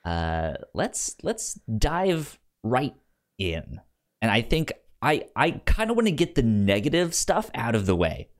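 A man's voice: mid-range voice, very animated, unhurried. A man hosting a podcast in casual, informal chat, repeating words for emphasis. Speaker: podcast host.

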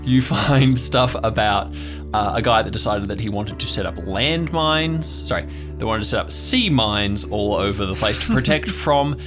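Low-voiced man running a tabletop game. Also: normal speed, music in the background. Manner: descriptive, conversational storytelling. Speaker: game master. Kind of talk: improvised narration of a tabletop game.

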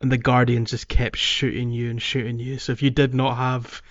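A man talks casually as a podcast co-host. His voice sits at an average pitch, and he hesitates as he searches for words. The recording is a little dull and lo-fi. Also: flat delivery, normal speed. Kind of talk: casual video game discussion.

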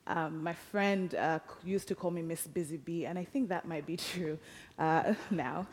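A young woman with a Ugandan accent giving a reflective, lightly humorous personal talk in measured phrases. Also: low-pitched, darkish tone. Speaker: speaker telling personal story. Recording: reverberant hall.

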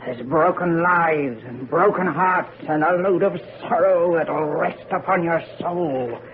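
An actress playing an old country herbalist and fortune-teller, ominous and sharp-tongued, with rustic old-fashioned speech in a radio drama. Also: female voice, fairly low pitch, slow. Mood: grave, accusing. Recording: noisy, tinny old radio recording.

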